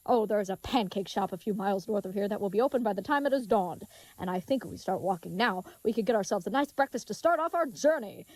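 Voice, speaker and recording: A woman's voice: mid-range voice, expressive, fast talker, darkish tone, dry recording, low-quality recording. A woman playing a big, earnest, dim-witted young knight in an audio drama, speaking in florid, old-fashioned, chivalrous phrases. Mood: cheerful, courtly.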